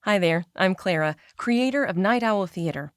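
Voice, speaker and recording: A woman's voice: mid-range voice, lively intonation, moderate pace. A woman hosting an audio drama podcast, reading a scripted introduction. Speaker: host and audio drama creator.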